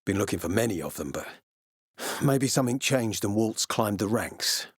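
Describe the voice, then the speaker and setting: Game role: gruff military commander, suspicious, curt and threatening, profane streak, recorded dry. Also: male voice, fairly low pitch, animated, moderate pace, no echo. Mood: urgent, serious. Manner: terse, menacing, sardonic, profane. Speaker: video game voice actor. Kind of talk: video game character dialogue.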